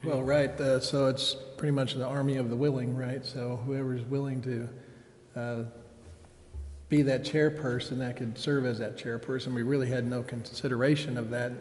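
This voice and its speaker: A man's voice: medium pitch, little pitch movement, normal speed, darkish tone. A man speaking informally as a council member in a public meeting discussion. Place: indoor council chamber. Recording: very echoey council chamber.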